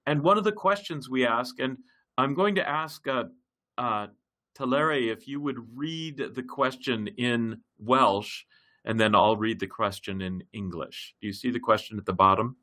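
Male facilitator on a computer mic, bright tone, medium pitch, hesitant delivery. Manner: halting, many fillers and restarts. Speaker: project facilitator. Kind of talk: hosting an online language class. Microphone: computer mic in a web meeting.

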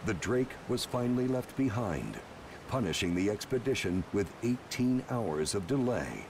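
A man's voice: on the low side, very animated, measured pace, distant mic, background noise. A man narrating a documentary in a scripted way.

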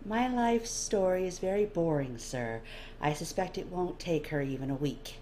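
A woman's voice: fairly low pitch, expressive, moderate pace, mellow, slight background noise, close to an everyday voice. A woman voicing a guarded female linguist in a story, clipped and politely dry, feigning meekness. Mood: cool, controlled.

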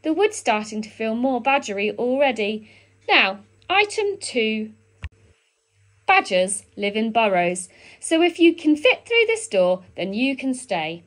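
A woman's voice: a little high, big pitch swings, measured pace. A woman voicing a bossy, self-important male badger in a children's storybook, with emphatic, sing-song commands.

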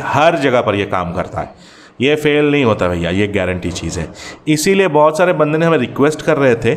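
Bengali-native male host, mid pitch, walks the viewer through each step in a chatty way, faint background hiss. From Bangladesh. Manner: chatty, explains step by step and calls the viewer brother. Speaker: fishing channel host. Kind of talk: hands-on tutorial voice-over.

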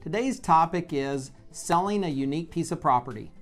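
A man in his forties speaking as a real estate video blog host, explaining in a measured, conversational way with pauses mid-phrase, recorded on a lapel mic in an office. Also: on the high side, animated, over loud music. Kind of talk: explanatory talk to camera. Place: bright office by large windows, indoors.

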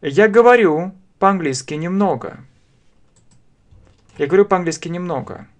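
A man in his thirties with a Russian accent, teaching a language lesson very slowly, spelling out phrases word by word and repeating them at a desk webcam. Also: high voice, lively intonation. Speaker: English teacher. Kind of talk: slow step-by-step language lesson. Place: small room indoors.

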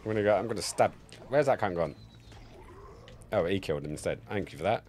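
Sweary, animated man live-streaming a video game. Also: low voice, fast talker. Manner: sweary, jokey, rambling. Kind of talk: casual live-stream gameplay commentary and chat. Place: indoor home streaming setup. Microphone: close streaming mic on a boom arm.

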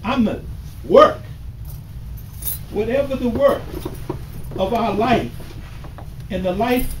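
Older man preaching slowly in an echoey hall. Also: very high voice. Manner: slow and deliberate, rising to emphatic calls. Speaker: imam. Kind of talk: sermon. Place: small mosque hall with bookshelves, indoors. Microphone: far camera at the back of the room. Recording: echoey room from far off with hiss.

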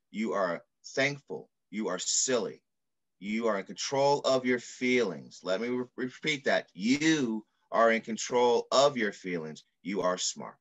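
A middle-aged man reading aloud in the style of a children's picture book, with measured, deliberate phrasing. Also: on the low side, a bit bright. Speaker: pediatrician reading a story aloud. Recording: muffled video call audio.

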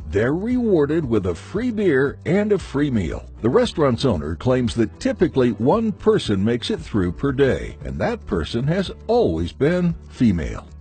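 A man narrates a short news feature in a scripted, wry tone. His pitch rises and falls widely, and a faint music bed plays under his voice. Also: darkish tone.

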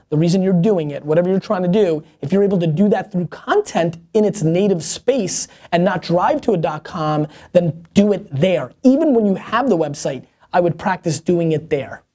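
A man's voice: high voice, dark tone. A man in his thirties hosting a casual advice show, answering viewer questions bluntly and emphatically from a seated spot in an open-plan office. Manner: emphatic, fast, blunt, gestures a lot.

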